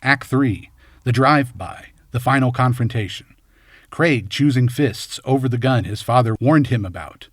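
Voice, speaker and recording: A man's voice: medium pitch, normal speed, dry recording, clean studio sound. A man reading scripted film commentary as host, in a wry, tongue-in-cheek way. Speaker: video essay host.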